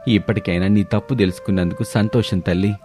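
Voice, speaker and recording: A man's voice: fairly low pitch, fairly even intonation, fast, mellow, soft background music, lightly acted. A man voicing a kindly older family elder who counsels in a fatherly way. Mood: gently admonishing.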